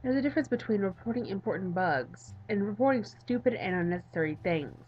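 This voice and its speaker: A woman voicing an exasperated adult male game developer in a visual novel, deadpan and condescending. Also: mid-range voice, quick pace, lo-fi sound, slight background noise, natural voice. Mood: stern.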